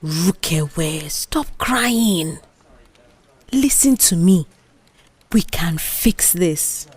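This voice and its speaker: A woman's voice: lowish voice, lively intonation, extremely slow, darkish tone, slightly rough, heavily acted. A Nigerian woman voicing a bold, scheming female office worker who protects and reassures her friend in an audio drama. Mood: firm, soothing. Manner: confident, reassuring, mischievous.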